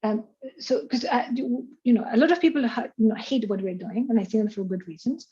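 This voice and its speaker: A woman's voice: on the high side, fairly quick, mellow. A woman from Chile, an invited speaker answering questions after her online lecture, talking freely with lots of "you know" and "like". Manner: talkative, heavy on "you know" and "like", laughs often. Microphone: video call. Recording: muffled video-call audio.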